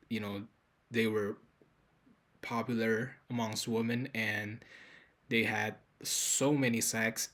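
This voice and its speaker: A man hosting his channel, introducing video clips in a plain, measured narration. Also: medium pitch, a bit bright.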